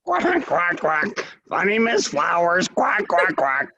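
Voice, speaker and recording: A performer voicing a silly purple duck mascot who quacks between sentences and shouts cheers in a school awards skit. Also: medium pitch, huge pitch swings, slow, vocal fry, lo-fi sound, exaggerated voice. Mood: chatty.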